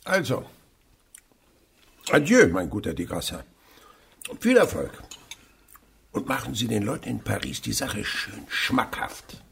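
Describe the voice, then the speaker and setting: An actor playing a sly, blustering old provincial miser in a radio drama, muttering and exclaiming theatrically. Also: male voice, medium pitch, very animated, measured pace, vocal fry, pauses often, a bit bright, slight background noise, heavily acted. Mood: brisk, excited. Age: older.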